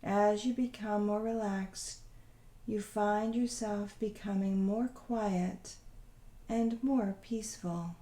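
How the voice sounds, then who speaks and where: Woman doing a guided meditation, soothing and really slow, roomy echo on the voice. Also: medium pitch, fairly even intonation. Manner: soothing, unhurried, gently instructing. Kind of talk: guided visualization meditation narration.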